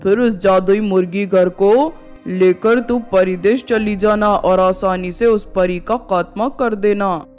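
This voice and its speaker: A wicked, scheming sorcerer from a cartoon horror story, acted by a woman. He instructs slowly in a smooth, high voice for a man. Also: fairly even intonation, darkish tone, soft background music, lightly acted. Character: wicked male sorcerer who helps witches.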